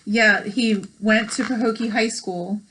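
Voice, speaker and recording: A woman's voice: medium pitch, steady tone, unhurried, a bit bright, slightly rough, low-quality recording. An American woman hosting a read-aloud, reading a picture book with chatty, encouraging asides.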